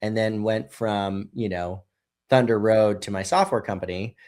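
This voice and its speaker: A man's voice: lowish voice, a little slow, a little mellow. A man in his thirties, a brewery co-founder and podcast guest, telling a loose, casual story full of "you know" and "right?".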